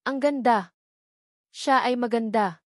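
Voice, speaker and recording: A woman's voice: on the high side, slow, no echo. Woman voicing a cheeky, flirtatious male warrior, dry sound. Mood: admiring. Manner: teasing, flirtatious.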